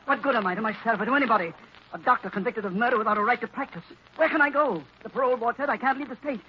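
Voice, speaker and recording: An actor playing a bitter, nervous paroled doctor in melodramatic 1940s radio style. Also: male voice, very high-pitched, racing. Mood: despairing. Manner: anguished, melodramatic. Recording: muffled, hissy old radio recording.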